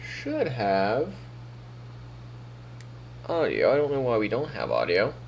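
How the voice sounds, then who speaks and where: A man's voice: medium pitch, slow pace, creaky, slight background noise. A man streaming on a weekend morning, chatting casually with a groggy, froggy morning voice. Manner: relaxed, talking to himself while troubleshooting. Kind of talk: casual live-stream chat.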